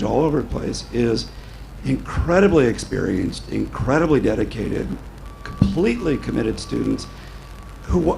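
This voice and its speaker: A man's voice: mid-range voice, vocal fry, slight background noise, rough recording. A middle-aged man on a panel speaking into a table mic, giving earnest, reflective answers in a large event tent. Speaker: panelist columnist. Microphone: table mic on a stand.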